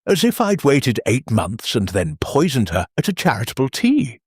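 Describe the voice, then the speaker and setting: A man voicing a bitter, defensive gentleman heir under suspicion in a mystery audiobook, clipped and resentful. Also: medium pitch, huge pitch swings, normal speed, husky, dry recording, studio quality. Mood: bitter, indignant. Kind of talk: audiobook character voice.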